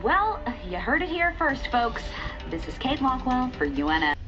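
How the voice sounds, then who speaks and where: A perky game newscast reporter. Her eager delivery rises and falls brightly in pitch, slightly muffled over clear background music. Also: very animated, moderate pace. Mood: perky, probing. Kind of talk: news broadcast. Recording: dull broadcast audio under music.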